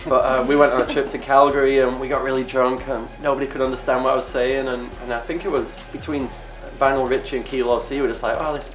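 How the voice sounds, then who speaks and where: Rambling Englishman with a softened accent, echoey room. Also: mid-range voice, flat delivery, quick pace, few pauses. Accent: English, softened by years in Canada. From England. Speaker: interviewed DJ. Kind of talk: casual chat. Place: indoors, studio interview. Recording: roomy echo, music behind. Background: music.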